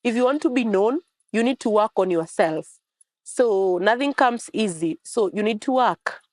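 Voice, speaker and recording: A woman's voice: mid-range voice, animated, normal speed, no echo. Earnest young Kenyan woman, dry sound. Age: young adult. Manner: earnest, repetitive phrasing. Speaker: journalism fellow. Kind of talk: testimonial interview.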